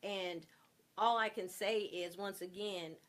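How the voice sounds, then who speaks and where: A woman's voice: medium pitch, steady tone, slow pace. A woman speaking as a theater guest in a casual interview, earnest and emphatic. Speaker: theater cast member, guest.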